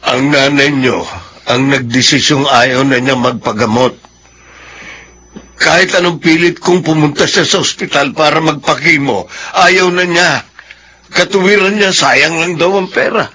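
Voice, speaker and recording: A man's voice: on the high side, a little slow, sharp tone, a little hiss or hum. A Filipino man playing a defensive, repentant father of grown children, earnest and pleading, in a radio drama.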